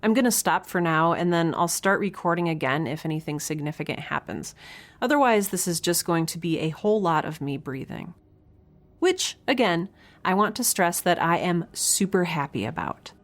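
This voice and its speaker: A woman's voice: fairly low pitch, lively intonation, moderate pace. An actress playing a young researcher alone on a spaceship, chatting into her log in a wry, rambling, nervous and self-deprecating way. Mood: anxious, wry. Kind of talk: audio drama, spoken log in a casual chatty manner.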